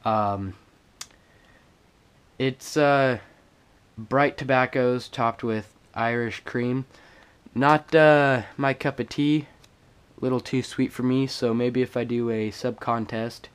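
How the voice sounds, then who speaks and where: A young man with a stuffed-up cold voice, casually showing off his things in a halting home vlog full of fillers. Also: mid-range voice, steady tone, smooth. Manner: halting, many fillers and restarts. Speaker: vlogger. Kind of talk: casual show-and-tell vlog. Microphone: action camera on a tripod.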